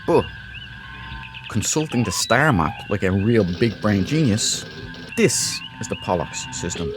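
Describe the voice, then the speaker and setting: A low-voiced man hosts a gaming video with casual commentary. He rambles informally through his explanations, and game music plays clearly behind him. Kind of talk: casual let's play commentary.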